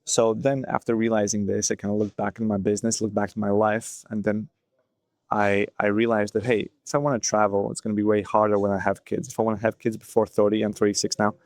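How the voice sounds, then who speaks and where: A man in his twenties talking through his thoughts in a loose, unscripted solo monologue, often pausing on "like" and "you know". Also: fairly low pitch, little pitch movement, darkish tone, no echo. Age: 20s. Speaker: vlogger.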